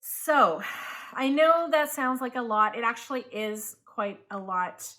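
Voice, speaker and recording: A woman in her thirties who grew up in Taiwan, a homeschooling parent talking casually to the camera, chatty and quick to correct herself. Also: fairly high pitch, lively intonation, bright, forceful tone. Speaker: homeschooling parent and channel host.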